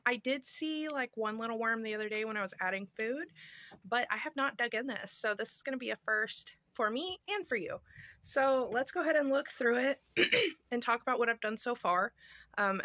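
Higher-voiced woman, chatty and informal. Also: bright, forceful tone, no echo. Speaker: homestead vlogger. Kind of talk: casual how-to vlog. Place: outdoors on a home porch. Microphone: phone at arm's length or handheld.

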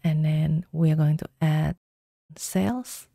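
A woman talks through a software tutorial in calm, step-by-step instructions. Her voice is mellow and low, unhurried, recorded dry and close. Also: vocal fry, no echo. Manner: calm step-by-step instructions, slow deliberate pacing.

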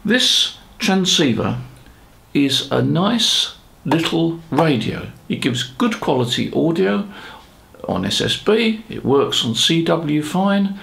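An older British man in his seventies talks as a conversational presenter. His pitch moves in animated swings, with a clearly creaky, gravelly edge. It sits a little high for a man, and he sounds chatty and reflective, dropping in rhetorical asides. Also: slow pace. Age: 70s. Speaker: ham radio presenter. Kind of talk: conversational explainer to camera. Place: small home office, indoors.

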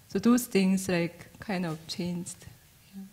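A woman from Korea speaking English with a Korean accent as a panelist, measured, with frequent "uh" and "um" pauses, into a handheld mic. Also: medium pitch, a little mellow. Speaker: panelist artist. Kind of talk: panel discussion. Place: stage of a museum auditorium.

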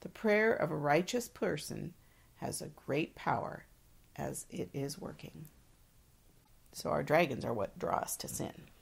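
A woman's voice: low voice, lively intonation, unhurried, creaky, slightly rough. A woman co-hosting a devotional, reading reflections and scripture aloud. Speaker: devotional co-host.

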